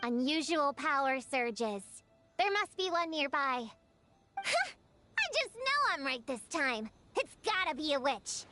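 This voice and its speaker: A young girl detective in a video game, her voice high and very lively. She is earnest, quick to defend herself and self-important, stressing her words with conviction. Light music plays behind her. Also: exaggerated voice. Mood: eager. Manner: self-important, insistent, emphatic. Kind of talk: English video game voice acting.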